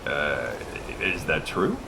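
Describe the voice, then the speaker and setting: Sincere soldier, faint noise behind. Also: male voice, rumbling low, sing-song, takes it slowly, gravelly, full character voice. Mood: uneasy. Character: idealistic, sincere male soldier guarding farmland. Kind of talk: video game voice acting. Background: faint ambience.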